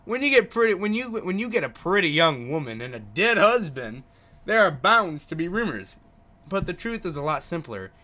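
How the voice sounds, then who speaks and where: A man's voice: high voice, sing-song, normal speed, pushed and bright, heavily acted. A man reading aloud a chatty, sheepish male taxi driver from a visual novel, gossipy and rambling, stumbling over some words.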